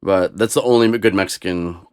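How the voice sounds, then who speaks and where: Low smooth-voiced man, rambling casually. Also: brisk, a little mellow, no echo, studio quality. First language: Russian. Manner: rambling with many fillers and restarts. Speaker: podcast guest, DJ. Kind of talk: casual conversation.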